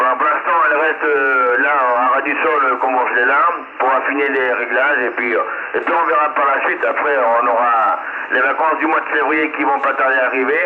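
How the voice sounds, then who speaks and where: Man on a tinny, echoing CB radio. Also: mid-range voice. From France. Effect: voice received over CB radio. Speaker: amateur CB radio operator. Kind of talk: casual radio contact chat. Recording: tinny CB radio link, echo, hiss.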